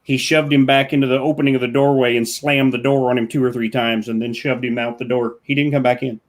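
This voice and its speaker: A man's voice: medium pitch, level tone. A man chatting casually on a stream, telling long anecdotes with frequent restarts. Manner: storytelling, drawn-out anecdotes with restarts. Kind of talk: casual chat.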